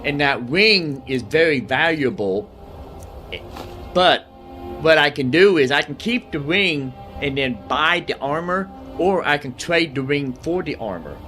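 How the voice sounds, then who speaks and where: A man's voice: fairly high pitch, lively intonation, measured pace, pushed and bright, soft background music. A man giving casual, informal let's play commentary as a gaming host, often repeating phrases to himself.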